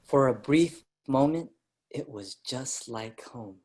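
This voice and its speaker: A male actor performs an ailing young writer in a staged reading. His voice sits mid-range. He is earnest and deeply attached to his brothers. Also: expressive, takes it slowly, darkish tone. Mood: reflective. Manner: reflective storytelling, pleading when alarmed. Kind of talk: staged reading, dramatic narration. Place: online virtual staged reading.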